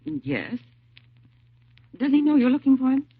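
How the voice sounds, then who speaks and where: A woman's voice: high-pitched, big pitch swings, unhurried, vocal fry, no echo, heavily acted. An actress playing a polite, concerned suburban mother in a 1950s radio drama, proper and tentative in her speech. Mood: uneasy, cooperative. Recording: tinny, narrow old radio recording.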